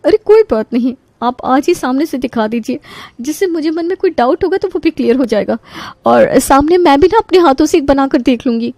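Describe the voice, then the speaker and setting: ऊँची आवाज़ वाली चहकती, बातूनी अभिनेत्री की निभाई महिला मेहमान. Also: female voice, sits high, animated, brisk, a little mellow, dry recording. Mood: insistent. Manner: gushing, mixes in English words. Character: boss's wife, chatty, delighted, insistent dinner guest. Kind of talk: Hindi animated story dialogue.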